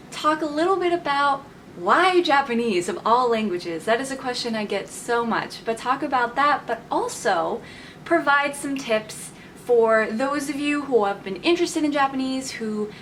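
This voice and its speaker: A woman's voice: fairly high pitch, a bit bright, a little hiss or hum. Chatty, enthusiastic woman in her twenties. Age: 20s. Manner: chatty and enthusiastic, laughs often. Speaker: vlogger. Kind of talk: casual vlog talk to camera.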